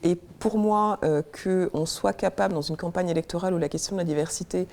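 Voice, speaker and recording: A woman's voice: lowish voice, fairly quick, creaky, a little mellow. A woman from Congo, a senior civil servant, speaking earnestly as a guest in a studio discussion and searching for words as she goes.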